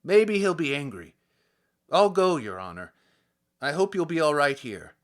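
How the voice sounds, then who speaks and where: Timid, apologetic village policeman, acted by a male reader; raised pitch, deferential and questioning, for a literary audiobook. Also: very animated, measured pace. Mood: worried, deferential. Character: anxious, deferential village policeman reporting to his superior.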